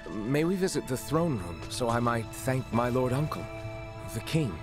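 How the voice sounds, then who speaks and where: Casting: male actor as loyal disgraced knight for a game drama, mid-pitched, courtly and measured. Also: big pitch swings, natural voice. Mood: devoted, respectful. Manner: formal, measured, courtly phrasing. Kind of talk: dramatic video game voice acting. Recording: game music behind. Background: background music.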